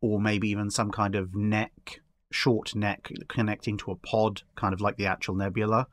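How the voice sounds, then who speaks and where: A man in a casual discussion, explaining his speculative ideas in a halting way with repeated words. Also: on the low side, flat delivery. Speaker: podcast guest.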